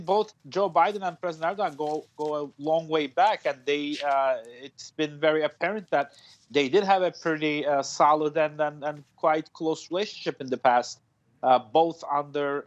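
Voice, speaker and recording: A man in his forties, a foreign policy analyst, speaking live from home over a video call, hesitant, with many fillers and restarts. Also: fairly high pitch. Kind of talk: live news interview. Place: room at home. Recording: dull lo-fi video call audio.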